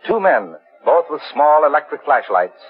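A man's voice: a little high, very animated, normal speed, slightly rough. A man announcing and narrating a 1940s radio drama in a formal, dramatic manner. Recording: muffled 1940s radio broadcast. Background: loud music.

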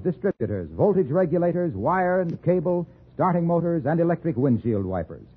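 A man's voice: on the high side, breathy edge. An American man announcing in a polished, emphatic 1950s radio sales style. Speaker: commercial announcer. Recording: muffled old radio recording.

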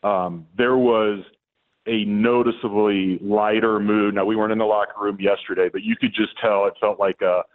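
A man's voice: lowish voice, steady tone, moderate pace. Man, phone-in guest, conversational, restarts, phone-like sound. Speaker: sportswriter guest calling in. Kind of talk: casual call-in chat. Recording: tinny phone line.

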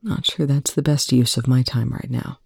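A woman doing a calm, mild military commander. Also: rumbling low, moderate pace, vocal fry, slightly rough, no room sound, studio quality, heavily acted. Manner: mild, flat, quiet. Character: steady older male military commander, calm.

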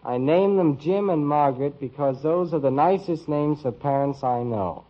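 Man acting high-pitched brash radio teenage boy. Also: normal speed, lightly acted. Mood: reading aloud. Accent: American. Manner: slangy, offhand. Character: brash, nosy, casual teenage son. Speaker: actor in radio sitcom. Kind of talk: old-time radio sitcom acting. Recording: dull vintage radio recording.

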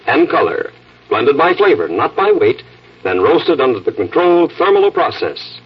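American guy doing 1940s radio announcing, scripted coffee-ad style, wide swooping pitch, muffled old recording. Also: breathy edge, far from the mic.

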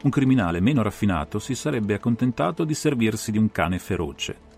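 A man performing an analytical gentleman detective recounting a solved case in a dramatized audiobook, with measured, theatrical phrasing. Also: lowish voice, lively intonation, vocal fry, soft background music. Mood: grave, analytical. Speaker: audiobook reader and interpreter.

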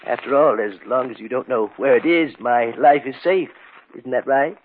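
An actor playing a smug, politely taunting gentleman collector with a hesitant manner in a 1950s radio drama. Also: medium pitch, moderate pace, close to an everyday voice. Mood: smug, gloating. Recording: dull, noisy old radio recording.

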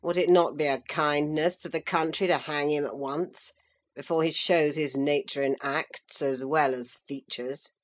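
A woman's voice: sits low, steady tone, unhurried. A woman doing a pompous, blustering old magistrate. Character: pompous elderly gentleman magistrate.

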